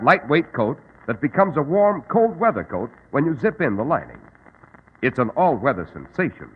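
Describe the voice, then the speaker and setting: A narrow vintage radio recording carries an American male announcer selling in 1940s style. His husky voice sweeps dramatically up and down. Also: mid-range voice.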